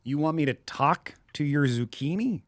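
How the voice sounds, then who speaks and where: An actor playing a loyal recovering addict in an audio drama, understated and full of incredulous questions. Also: male voice, a little high, animated, moderate pace, no room sound, natural voice. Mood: wry, puzzled. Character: wry, sceptical recovering addict, loyal friend.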